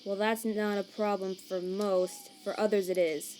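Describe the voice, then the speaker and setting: A woman's voice: mid-range voice, level tone, unhurried, darkish tone, slight background noise, natural voice. A woman voicing a laid-back male skeleton monster in a fan comic dub, casual and wry as he shrugs things off. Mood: explanatory. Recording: chirping crickets and night ambience behind.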